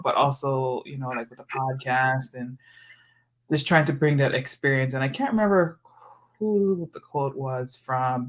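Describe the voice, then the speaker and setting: A woman's voice: rumbling low, fairly bright tone. A woman from Hawaii hosting a podcast, chatting casually in an informal, reflective and meandering way. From Hawaii, USA. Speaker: podcast host. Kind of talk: casual chat.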